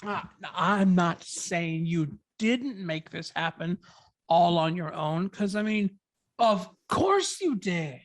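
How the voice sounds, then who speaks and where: A woman's voice: fairly low pitch, lively intonation, slow. A woman playing a supportive, easygoing male partner in a script table read, casual and encouraging. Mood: reassuring. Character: supportive, easygoing live-in partner named Todd, a man.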